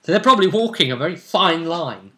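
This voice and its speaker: Bright-voiced man, casual game master. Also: on the high side, expressive, a little fast. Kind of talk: casual recap of a tabletop game story.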